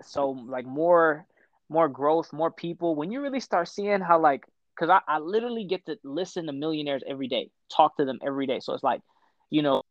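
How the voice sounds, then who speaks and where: A man in his twenties, born in Ethiopia, chatting casually as a podcast guest, slangy and quick to laugh. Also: on the high side, no echo, lo-fi sound. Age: 20s. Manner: relaxed, slangy, laughs often. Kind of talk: casual chat.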